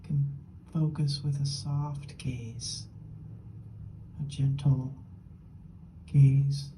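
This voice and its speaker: A woman leading a guided meditation as a teacher, gently and unhurriedly, in short phrases with long pauses, her soft, breathy voice often falling toward a whisper. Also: a bass voice, steady tone, background noise.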